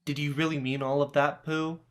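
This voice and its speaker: A man's voice: on the high side, flat delivery, fairly quick, fairly bright tone, close to an everyday voice. A man playing an earnest, newly confident teenage boy in a play script reading. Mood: hesitant.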